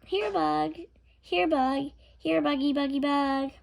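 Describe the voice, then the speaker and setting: A woman's voice: sits high, steady tone, slow pace, gravelly, voice pushed up, full character voice. A woman voicing a cheerful, literal-minded housemaid in a children's storybook, confident, folksy and eager.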